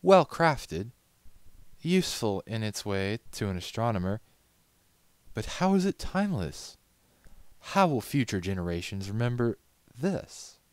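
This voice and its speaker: Man putting on a haughty lady pony, pitched up. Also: sing-song, unhurried, pauses often, dry recording. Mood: haughty, critical. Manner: imperious, theatrical questioning. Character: haughty female pony, exacting and proud.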